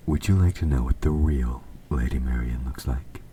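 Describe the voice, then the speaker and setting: A man playing an evil, possessive king in an audio roleplay, speaking formally and with measured, quiet menace, his S sounds sharp. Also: extremely low pitch, slight background noise. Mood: quietly menacing. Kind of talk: scripted audio roleplay, intimate dramatic monologue.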